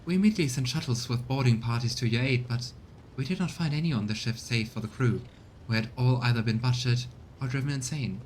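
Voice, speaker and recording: A man voicing a deferential high factotum in a video game, reporting gravely in courtly, measured language. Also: darkish tone, a little hiss or hum, natural voice, low-quality recording. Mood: grave, apologetic.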